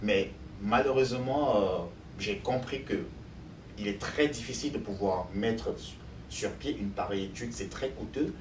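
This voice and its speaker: A man's voice: fairly low pitch, moderate pace. A man, answering interview questions as a doctoral student, thoughtful and hesitant with many fillers. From Cameroon. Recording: echoey room, distant mic, noise.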